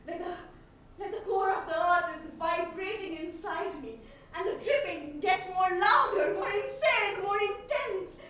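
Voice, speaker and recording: A woman performing a theatrical monologue as a haunted, unhinged woman, speaking deliberately with dramatic pauses, in a theatre. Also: sits high, animated, a bit bright, full character voice. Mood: frenzied. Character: haunted, unhinged woman recalling her mother's death. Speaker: solo stage actor. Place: indoor theatre stage. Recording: echoey theatre stage, distant mic, noisy.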